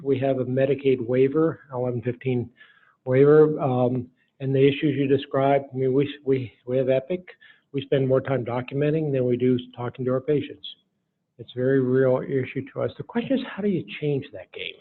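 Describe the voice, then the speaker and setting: A man giving a keynote talk and taking audience questions in an informal, folksy way, in short phrases. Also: mid-range voice. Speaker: keynote speaker. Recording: muffled lo-fi hall recording.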